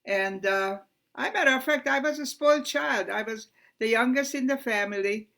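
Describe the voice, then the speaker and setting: A woman, giving her personal testimony as a survivor in a slow, halting, earnest way, pausing often and sometimes restarting her sentences. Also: fairly high pitch, gravelly, a bit bright. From Hungary. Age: 90s. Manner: loses her place and restarts. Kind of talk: personal testimony on a live stream.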